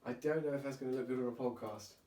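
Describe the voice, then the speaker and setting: A man's voice: mid-range voice, fairly even intonation, fast, dark tone. A man hosting a podcast in casual chat, chatty and jokey, asking lots of questions. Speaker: podcast host. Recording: roomy, distant mic with faint hiss.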